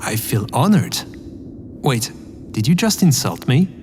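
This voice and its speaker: A man's voice: medium pitch, big pitch swings, measured pace, darkish tone, slight background noise. A man playing a naive, obedient spaceship operator in a sci-fi comedy audio drama, literal-minded, hesitant and earnest. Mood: flattered, surprised.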